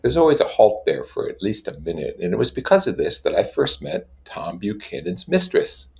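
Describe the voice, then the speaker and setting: A man reading literature aloud in a measured way, with casual explanatory asides. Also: deep voice, big pitch swings, vocal fry, a little mellow. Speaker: reader and commentator.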